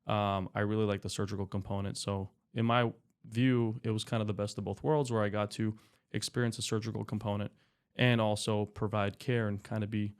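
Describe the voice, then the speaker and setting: A man in his thirties, a doctor and podcast guest, explaining his work in a relaxed, chatty way with many fillers. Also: fairly low pitch, brisk, clean tone. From Spain, raised in California. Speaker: anesthesiologist guest. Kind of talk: casual interview talk.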